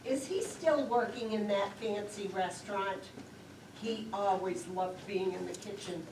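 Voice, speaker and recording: A woman's voice: medium pitch, unhurried, natural voice. A woman acting a chatty, nagging, self-absorbed older sister in an amateur stage play. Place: lakeside community theater stage, picked up from afar. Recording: distant echoey stage recording, clear noise.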